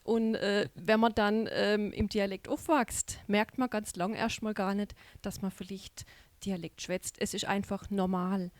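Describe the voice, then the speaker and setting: A woman's voice: medium pitch, fairly even intonation, measured pace, dry recording. A middle-aged woman from Baden with an Alemannic Baden German accent, chatting as a guest in a studio interview with lots of filler sounds. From Baden, southwest Germany. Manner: chatty, many "ähm" fillers. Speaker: guest, dialect society chair. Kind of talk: relaxed interview chat.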